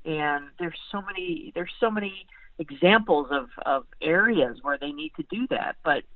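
A woman sports beat writer giving conversational football analysis as a guest, in a halting way with repeated words and many you-knows. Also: low voice, lively intonation, no echo, phone-quality sound.

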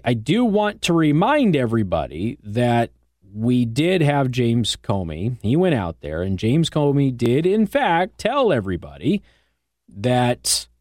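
An American man hosting talk radio, speaking in a conversational, emphatic monologue with rhetorical questions and pauses for effect. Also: mid-range voice, a little mellow, dry recording, studio quality. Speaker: radio talk show host. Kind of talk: conservative talk radio monologue with host-read ads. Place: radio studio. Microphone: close broadcast microphone.

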